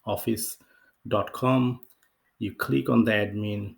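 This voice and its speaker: Low, even-toned man giving tutorial. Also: slow, vocal fry. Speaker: software tutorial presenter. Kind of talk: casual screen-share walkthrough, unscripted. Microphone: off-camera voice-over for screen recording.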